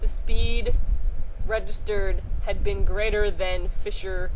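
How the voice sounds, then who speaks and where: A woman reading a story aloud informally, halting and sometimes stumbling over or repeating phrases. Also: mid-range voice, fairly even intonation, a little hiss or hum, low-quality recording. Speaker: podcast host reading a short story aloud.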